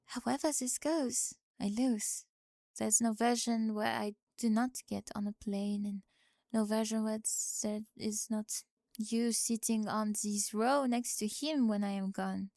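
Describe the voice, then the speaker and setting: A woman's voice: fairly high pitch, steady tone, a little slow, vocal fry, warm tone, dry recording, studio quality. A woman playing a bold young French exchange student in an ASMR roleplay, speaking with a French accent in precise, earnest phrases. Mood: earnest, frank.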